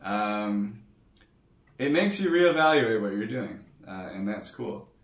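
A laid-back man from Canada talks about his music, hedging as he goes. His voice is very low and sits back from the microphone in an echoey room. He laughs easily. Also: lively intonation, slow, recorded from a distance. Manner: easygoing, laughs readily, hedging with "I mean". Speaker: guest musician. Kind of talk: casual chat.

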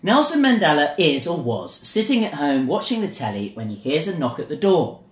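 A man's voice: a little high, sing-song, a little fast. A man hosting a podcast, chatting playfully and reading a joke aloud. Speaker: podcast host. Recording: roomy echo.

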